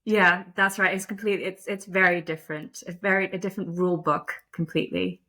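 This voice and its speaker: Low-voiced woman in an interview. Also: fairly even intonation, brisk, bright, forceful tone. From Netherlands. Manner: thoughtful, self-deprecating, frequent restarts and fillers. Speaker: guest, lacrosse umpire. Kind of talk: casual interview conversation.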